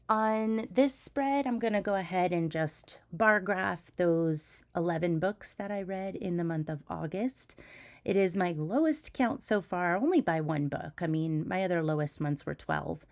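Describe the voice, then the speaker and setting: A woman's voice: fairly low pitch, a little slow. A woman with a stuffy head cold, a teacher chatting casually about her reading journal, with frequent ums and restarts. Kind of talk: casual chat and voiceover. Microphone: voiceover mic.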